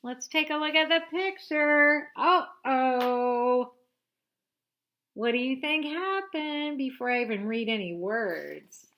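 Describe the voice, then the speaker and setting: Lady doing a slow storytime read-aloud, teacher style, chats to the kids as she goes. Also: high voice, slight background noise. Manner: expressive, simple explanations addressed to children. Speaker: teacher reading a picture book aloud to children. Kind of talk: read-aloud storytelling with asides and questions to young listeners.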